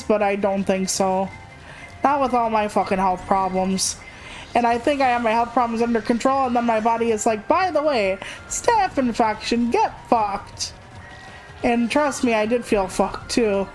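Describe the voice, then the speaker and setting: A woman's voice: a little high, moderate pace, a little mellow, faint music behind, rough recording. A woman livestreamer chatting casually in a candid, rambling, self-deprecating way, swearing freely. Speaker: video game livestreamer.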